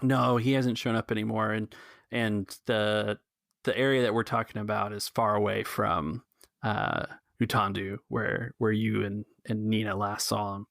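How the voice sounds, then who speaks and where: A man hosting a tabletop podcast as game master, earnest and sometimes halting as he speaks. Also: on the low side, steady tone, gravelly, fairly bright tone, dry recording, studio quality. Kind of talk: tabletop game roleplay narration.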